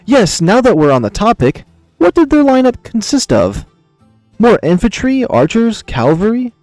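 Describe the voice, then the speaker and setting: A man's voice: sits high, wildly swooping pitch, normal speed, darkish tone, no room sound, soft background music, pitched above the performer's own voice. A man voicing a commanding, impatient beast emperor in a visual novel, brusque and dryly amused as he questions his men.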